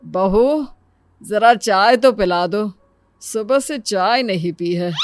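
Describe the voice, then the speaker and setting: A woman's voice: medium pitch, takes it slowly, background noise, natural voice. A woman voicing a fond, mildly chiding middle-aged father in a cartoon moral story, with an advising manner.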